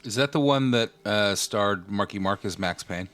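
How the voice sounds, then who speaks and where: A man with a fairly low voice on a gaming podcast. He asks joking questions in a flat, deadpan way. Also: moderate pace, no echo, soft background music. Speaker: podcast co-host. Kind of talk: casual chat.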